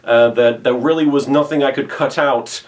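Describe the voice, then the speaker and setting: A man's voice: mid-range voice, fairly even intonation, moderate pace. A man co-hosting a casual update for fans of his web videos, talking informally as a creator.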